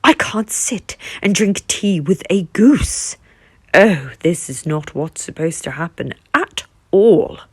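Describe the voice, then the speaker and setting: Lady playing a big clumsy bear, loud then coaxing, husky dry voice. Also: lowish voice, animated, slow, no echo, heavily acted. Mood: dismayed. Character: big male bear, clumsy and eager to scare.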